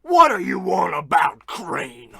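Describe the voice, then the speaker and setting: An actor playing a gruff, folksy, blustering skeptic with dramatic delivery, in old radio comedy style. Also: male voice, high-pitched, sing-song, slow, pushed and bright, full character voice. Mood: indignant.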